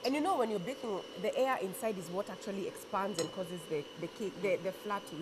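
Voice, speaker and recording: A woman's voice: medium pitch, fairly quick. Kenyan woman hosting, noisy recording. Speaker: TV show host. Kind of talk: casual chat during a cooking demonstration. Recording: clearly noisy with clicks.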